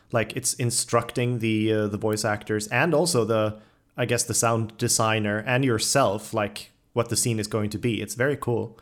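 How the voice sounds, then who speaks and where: Mid-pitched man hosting a casual interview. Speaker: podcast host, interviewer.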